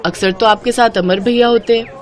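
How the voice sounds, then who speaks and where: A woman voicing a kind young vegetable seller in an animated story, speaking brightly and eagerly. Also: a little high, a little fast, close to an everyday voice. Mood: friendly.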